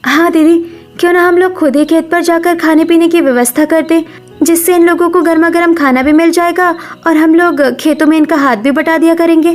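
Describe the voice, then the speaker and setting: A woman's voice: extremely high pitch, fairly even intonation, a little fast, faint music behind. A woman voicing a caring, eager young daughter-in-law in a cartoon village story. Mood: eager, helpful. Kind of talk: Hindi cartoon story dialogue.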